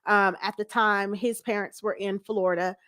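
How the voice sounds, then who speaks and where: A woman's voice: medium pitch, fairly even intonation, moderate pace, a bit bright, no room sound. An American woman in her forties talking candidly as an interview guest, acting out her own thoughts as she tells her story. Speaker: guest, pastor's wife. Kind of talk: casual interview chat.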